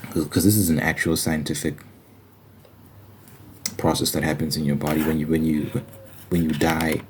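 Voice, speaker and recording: A man's voice: extremely low pitch, little pitch movement, moderate pace, a little mellow, a little hiss or hum. A man giving an informal, earnest Bible study monologue in an ASMR style, repeating phrases for emphasis.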